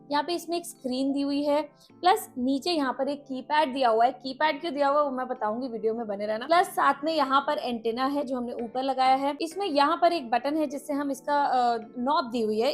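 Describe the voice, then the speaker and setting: A woman with an Indian accent presenting a casual product review, explaining step by step and mixing in English words. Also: high voice.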